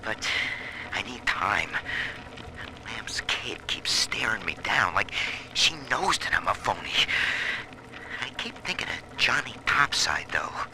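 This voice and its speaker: A man's voice: fairly low pitch, big pitch swings, slow pace, gravelly, exaggerated voice. An actor playing a slick, slangy hustler in a video game, talking like a wisecracking showman. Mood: hushed worry. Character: slick, breezy fast-buck male hustler. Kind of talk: video game dialogue and audio diary. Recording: noisy recording with ambience.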